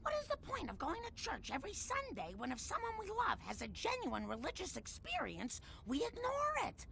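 An actress playing a worried, scolding middle-aged cartoon mother with a raspy voice. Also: very high-pitched, huge pitch swings, normal speed, pushed and bright, a little hiss or hum, heavily acted. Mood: concerned. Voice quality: gravelly, raspy. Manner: fretful, scolding.

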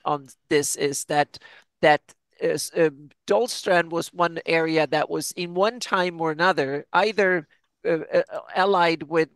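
A man hosting a casual lore discussion, talking haltingly with many restarts and repeated words. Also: fairly high pitch, fairly bright tone, no echo. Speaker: podcast host.

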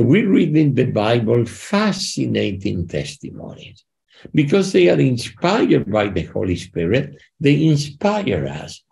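A man's voice: medium pitch, gravelly, darkish tone. An older man hosting a faith podcast over a video call from his living room, speaking with fervent, preacher-like emphasis. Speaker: podcast host. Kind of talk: conversational interview.

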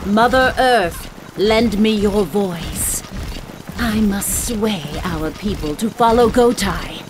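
A woman's voice: mid-range voice, slow pace, lightly acted. An actress playing a wise, devout orc shaman in a video game, solemn and clipped in her phrasing. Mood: reverent. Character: wise, devout female orc shaman, peace-minded and cunning. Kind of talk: video game cutscene acting. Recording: game music behind the voice.

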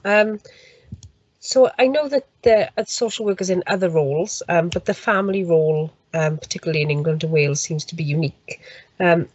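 A woman with a fairly low voice answers politely on a video call, often opening her phrases with "um". The sound is dry and close, but dull. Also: husky, dry recording. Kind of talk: panel answer.

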